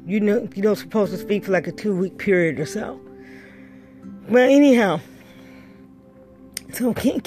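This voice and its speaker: Brief: middle-aged female life coach, raspy and breathy, unhurried, soft music underneath. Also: medium pitch. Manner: emphatic, repeats key words. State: dry throat after a stroke. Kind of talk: motivational pep talk.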